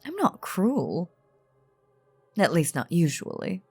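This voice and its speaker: A woman as a slow, low-voiced, taunting vampire noblewoman. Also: huge pitch swings, slightly rough, no echo, faint music behind, heavily acted. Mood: amused, menacing. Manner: slow, teasing, condescending, genteel formal diction. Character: elegant, taunting vampire noblewoman, calm and menacing. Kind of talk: scripted roleplay audio drama monologue.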